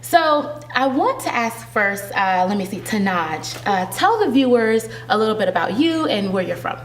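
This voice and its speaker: Lively woman host, far off mic. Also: medium pitch, moderate pace, a little hiss or hum. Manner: upbeat, encouraging interjections. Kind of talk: live event interview. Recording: roomy, from off mic.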